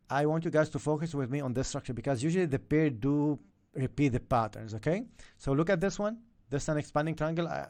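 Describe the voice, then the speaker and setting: Man with an Arabic first language, fairly high pitch, energetic trading coach on a live stream. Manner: energetic, repeats "okay?" and "yeah?" to viewers.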